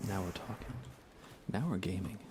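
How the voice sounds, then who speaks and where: A young man softly murmuring on an ASMR gaming livestream in an unhurried, casual way, often close to a whisper, near the mic. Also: low-pitched, background noise. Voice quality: soft, hushed, often slipping into breathy whisper. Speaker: livestream host.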